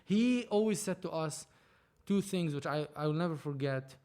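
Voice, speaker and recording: A man, a podcast guest telling his story in a casual, animated, rambling way with many restarts. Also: fairly high pitch, moderate pace. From Lebanon. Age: 30s. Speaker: film producer, podcast guest.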